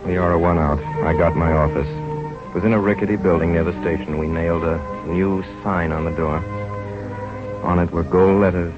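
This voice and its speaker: A man's voice: deep voice, steady tone, normal speed, lightly acted. An actor playing an earnest young doctor who tells his own story in an old radio drama. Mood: reflective. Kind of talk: 1930s radio drama acting and first-person narration. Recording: muffled old radio recording with music.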